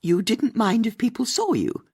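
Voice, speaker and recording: A narrator playing a sharp, inquisitive older Englishwoman sleuth. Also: mid-range voice, wildly swooping pitch, normal speed, vocal fry, breathy edge, voice pushed up, heavily acted. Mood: sharp, surprised. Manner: probing, interrupting, pointed. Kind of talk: audiobook character dialogue.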